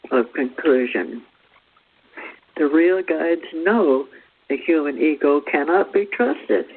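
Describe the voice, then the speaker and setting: A man presenting a spiritual teaching as a read-out talk, with measured, deliberate phrasing in short pieces. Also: fairly high pitch, slightly rough. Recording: thin phone-like radio sound.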